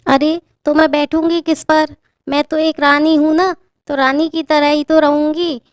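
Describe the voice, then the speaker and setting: A woman's voice: sits high, flat delivery, a little slow, no room sound, studio quality, pitched above the performer's own voice. Padma, a woman doing a spoiled young bride. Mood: haughty. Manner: demanding, whiny, entitled. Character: spoiled young bride acting like a haughty queen.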